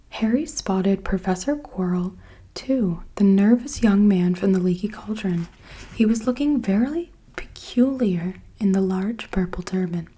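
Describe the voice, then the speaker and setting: A woman reading a storybook aloud in a soft whisper. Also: mid-range voice, husky. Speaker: reader.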